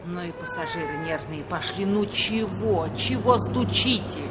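An actress playing a grumpy, indifferent station cashier, curt and irritable, in an old radio play. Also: female voice, medium pitch, lively intonation, a little slow, noisy background, natural voice. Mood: irritated. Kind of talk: 1960s Soviet radio play acting.

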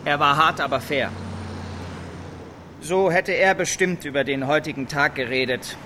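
A man playing an earnest, bereaved security guard giving a halting public witness statement in a radio drama. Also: fairly high pitch, pushed and bright, slight background noise. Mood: solemn, bitter.

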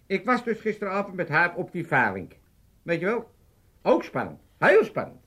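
Old Amsterdam dad, cheeky gambler, very animated pitch, hesitant roundabout talker, comic radio play. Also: male voice, moderate pace, husky, exaggerated voice. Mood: boastful, excited. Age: older. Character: old father, chatty, cheeky, easygoing gambler.

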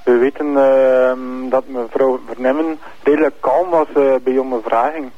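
A man's voice: mid-range voice, fairly even intonation, normal speed, no room sound. Een man met Vlaams accent belt naar de radio en stelt aarzelend, zoekend naar woorden, vragen. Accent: Flemish. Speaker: listener calling in. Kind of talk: hesitant questioning on radio. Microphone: telephone. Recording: narrow tinny telephone line.

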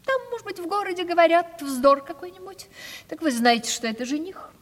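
A woman's voice: very high voice, expressive, a little fast. Haughty, swooping aging lady landowner. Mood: gracious, coaxing. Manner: theatrical, imperious, emphatic. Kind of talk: 1970s Russian radio play acting. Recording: reverberant 1970s radio play recording.

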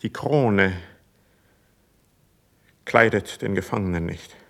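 Proud hurt young noble, low and creaky, solemn verse, radio play. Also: male voice. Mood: bitter, grieving resignation. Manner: solemn, declamatory, drawn-out emphatic phrasing. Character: proud, deeply wounded young nobleman resigned to arrest. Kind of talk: classical verse stage acting for radio.

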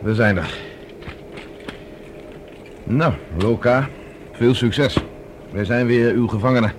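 A man's voice: mid-range voice, slow, slight background noise, lightly acted. A man playing a decisive professor in a science fiction radio drama, speaking with urgent, analytical authority. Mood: tense, alert. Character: decisive male professor plotting as captive space traveller.

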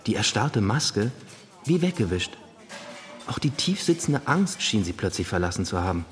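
An actor playing a dry, eager assistant detective who talks and narrates matter-of-factly in a radio drama. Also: male voice, on the low side, very animated, moderate pace. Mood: narrating, intent.

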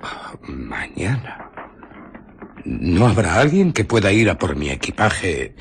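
An actor in a radio drama playing an edgy, secretive stranger, terse and halting, with an accent from Spain. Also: male voice, sits low, big pitch swings, a little hiss or hum, exaggerated voice. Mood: insistent, impatient. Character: edgy, secretive male stranger with bandaged face.

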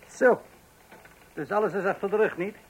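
An actor playing a tactless, pedantic man in a radio play, deliberate and fussy, often repeating his own words. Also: sits high, husky. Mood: dryly probing. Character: tactless, pedantic male acquaintance, fussily doing sums. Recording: noisy old radio play recording.

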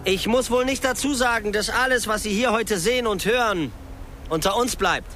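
A man's voice: high-pitched, normal speed, sharp tone, a little hiss or hum. An actor in a German radio crime drama playing a brash, foul-mouthed porn producer with a swaggering showman's manner. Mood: boastful, rousing.

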